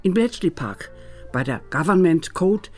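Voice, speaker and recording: A reticent old grandmother, animated pitch. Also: measured pace, faint music behind. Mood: secretive, reminiscing. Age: elderly. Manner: hesitant, reticent, reminiscing. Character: elderly British grandmother, reticent former wartime intelligence worker. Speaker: former codebreaking-era intelligence worker. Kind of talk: radio drama dialogue and narration.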